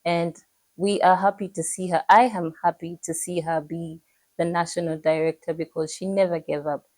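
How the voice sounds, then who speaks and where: Young Zambian woman, pageant hopeful, low mellow voice, earnest and measured. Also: steady tone, no room sound. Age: young adult. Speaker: pageant contestant. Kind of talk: prepared self-introduction to camera.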